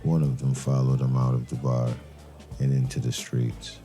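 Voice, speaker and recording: An actor playing a brooding, suspicious detective who narrates a noir crime drama in a grave, deliberate manner. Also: male voice, very deep voice, completely flat delivery, dark tone, soft background music. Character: brooding male detective, terse, suspicious and methodical. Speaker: narrator and lead character of an audio crime drama.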